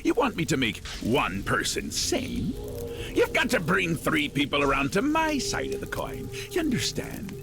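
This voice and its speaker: An actor playing a gleefully deranged, menacing prince of madness in a video game, theatrical and mocking. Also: male voice, a little high, wildly swooping pitch, measured pace, gravelly, music in the background, full character voice. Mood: scheming, menacing. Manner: theatrical, wildly swinging, mocking.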